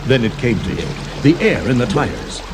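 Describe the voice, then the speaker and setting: A man's voice: mid-range voice, big pitch swings, normal speed, background noise. A man narrating a scripted children's adventure story as the storybook's reader. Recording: 1980s storybook cassette with music underneath. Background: background music.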